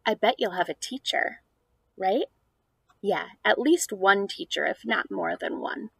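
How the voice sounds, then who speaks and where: A woman's voice: medium pitch, unhurried, vocal fry, slightly rough, dry recording. A woman in her thirties speaking as a preschool teacher to young children, in an exaggerated sing-song read-aloud delivery. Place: outdoors on a house porch.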